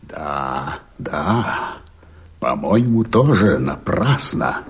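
An actor playing a rough, bloodthirsty rebel follower in a verse radio play, husky and halting, with a tendency to drop toward a whisper. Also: male voice, a bass voice, sing-song, slight background noise, exaggerated voice. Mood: brooding agreement.

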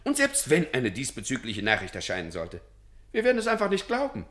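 A man's voice: mid-range voice, wildly swooping pitch, brisk, fairly bright tone. An actor in a German radio play playing a self-important, evasive senior civil servant who dithers officiously and draws out his phrases.

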